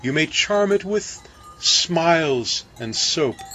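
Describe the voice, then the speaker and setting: A man's voice: fairly high pitch, animated, takes it slowly, pushed and bright, soft background music, pitched above the performer's own voice, exaggerated voice. A man voicing a tearful, timid baker in a storybook poem, pleading in a raised, quavering voice. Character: tearful timid male baker, anxious and rambling.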